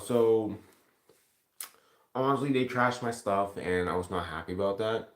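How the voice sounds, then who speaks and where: A man chatting casually on a livestream, rambling and swearing heavily as he replies to comments. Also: low voice. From Ontario, Canada. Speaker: live streamer. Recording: echoey room sound.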